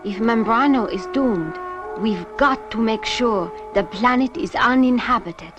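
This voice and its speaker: A woman's voice: a little slow, lightly acted. An actress playing a plucky, caring young woman on a spaceship crew in a vintage science fiction puppet series. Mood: earnest. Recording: dull vintage soundtrack, loud music and rumble.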